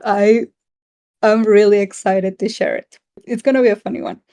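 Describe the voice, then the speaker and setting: A woman's voice: mid-range voice, steady tone, moderate pace, dark tone, clear, resonant tone. A woman from South America co-hosting a casual online community chat in a friendly, giggly, encouraging way. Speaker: town hall co-host.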